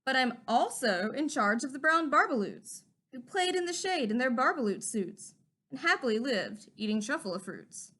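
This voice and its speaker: A small, indignant forest creature scolds in a high, bright voice that rises and falls with feeling, as a woman reading a storybook aloud puts him on. He lectures and preaches, sure he is right. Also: big pitch swings, normal speed, exaggerated voice. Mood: scolding.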